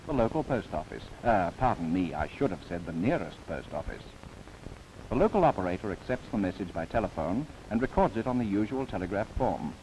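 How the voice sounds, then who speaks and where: An old, slightly noisy 1930s film soundtrack carries a man's low-pitched narration. He delivers a formal documentary script with theatrical swings in pitch and a wry touch. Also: a little mellow.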